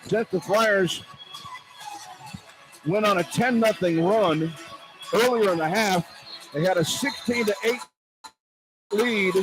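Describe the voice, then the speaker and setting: A man calling live basketball play-by-play on radio from a noisy arena, in excited, clipped phrases that follow the action. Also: sits high. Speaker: play-by-play announcer. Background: loud arena noise.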